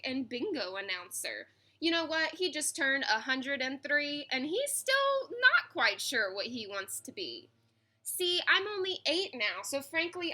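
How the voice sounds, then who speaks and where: An eager, imaginative eight-year-old schoolboy from a storybook, as acted by a woman reader. The voice is high and bright. He is excitable and boastful, declaiming his grand ideas in rhyme. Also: heavily acted. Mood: frank, hopeful.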